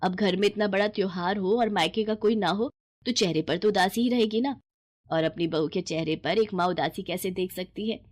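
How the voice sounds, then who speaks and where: A woman voicing a caring, affectionate middle-aged mother-in-law who gently organizes her household in a storybook tale. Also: medium pitch, normal speed, close to an everyday voice. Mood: tender. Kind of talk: acted storybook dialogue.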